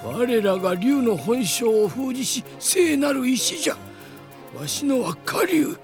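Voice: mid-range voice, very animated, a little slow, soft background music. An actor voicing a cranky, theatrical old man of an ancient dragon tribe in a radio drama, speaking in a pompous, archaic way. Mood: solemn. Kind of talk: Japanese radio drama acting.

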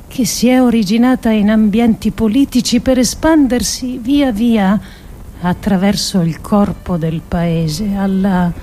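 An actress performing a theatrical monologue as a grave, idealistic political thinker, with deliberate, emphatic phrasing and dramatic pauses. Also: female voice, medium pitch, warm tone, lightly acted. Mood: grave, urgent. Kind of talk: theatrical scripted monologue adapted from philosophical essays.

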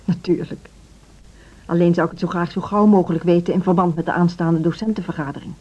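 A woman's voice: on the low side, steady tone, fast talker, warm tone, breathy edge. A middle-aged woman acting a dedicated, earnest music school director in a Dutch social radio drama. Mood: earnest, persuasive. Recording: dull, band-limited radio drama recording.

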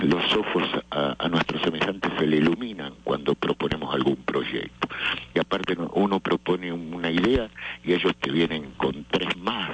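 An older man, a theatre organizer, answering interview questions thoughtfully with many pauses and fillers. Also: sits low, fairly bright tone, husky, low-quality recording. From not Venezuela.

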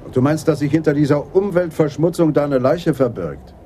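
An actor playing a weighty older police adjutant, dry and measured, with a husky voice in a German radio play. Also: male voice, a little high, dark tone, slight background noise, close to an everyday voice. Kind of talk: German radio drama dialogue.

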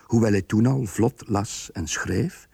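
A man reading scripted radio drama narration in a measured, literary way. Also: fairly low pitch, gravelly, darkish tone, breathy edge, no echo, studio quality.

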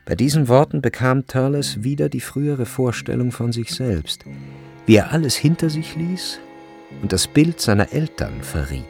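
A man narrating a literary radio drama in a measured, deliberate way. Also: mid-range voice, expressive, gravelly, darkish tone, soft background music.

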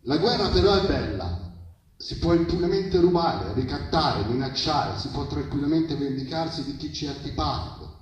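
A man's voice: on the high side, lively intonation, moderate pace, muffled recording, slight background noise. A man performing satirical spoken-word poetry live, declaiming in short, insistent, repeated phrases. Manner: satirical, mimicking common bigoted remarks. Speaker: poet performing at a public reading. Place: live poetry reading at an outdoor festival. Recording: very echoey, picked up from far off.

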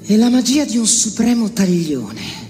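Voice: mid-range voice, very animated, measured pace, darkish tone. An actor delivering a theatrical monologue as an outraged, bitterly scornful accuser, declaiming in rhetorical questions. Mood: bitter, vengeful. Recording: long hall reverb, music underneath.

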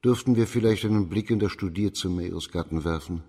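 An actor playing a shrewd, middle-aged judge of imperial China in a radio crime play, formal, measured and authoritative, with a husky voice. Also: male voice, low voice, mellow. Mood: calm, probing.

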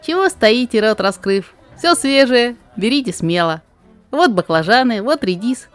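A woman voicing a talkative, eager greengrocer man in a rhymed audio drama, with brisk, obliging chatter. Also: fairly high pitch, expressive, soft background music, voice pushed up. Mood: brisk hawking.

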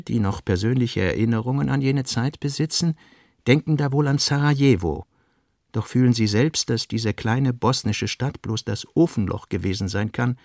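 A man narrates a literary radio play in a dry, close sound. His voice has a noticeable creak and sits at a middling pitch. He reads in a measured, deliberate way, like someone setting out an essay. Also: a little mellow, no echo.

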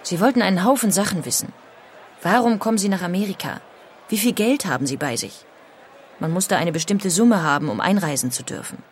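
A rueful immigrant, voiced by an actor, recalls the arrival in a plain, mid-pitched storytelling tone. A faint hiss of noise sits under the voice. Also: fairly quick, close to an everyday voice. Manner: plain, rueful first-person recollection. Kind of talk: audio drama reading.

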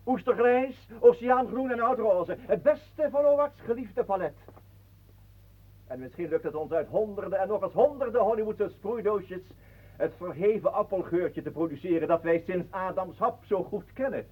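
An actor playing a pompous, mocking self-styled ballet master with florid, theatrical delivery in a radio play. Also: male voice, high voice, expressive, moderate pace. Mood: grandiose, exalted. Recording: muffled phone-like old radio recording.

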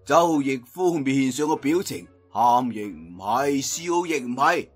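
Male Cantonese storyteller, very animated, slightly high and husky, dramatic serial narration over faint music. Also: a little slow. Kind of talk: dramatic traditional audiobook storytelling.

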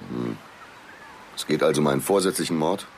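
A man's voice: a bass voice, a little fast, creaky, slight background noise. A man playing a sardonic police detective in a radio drama.

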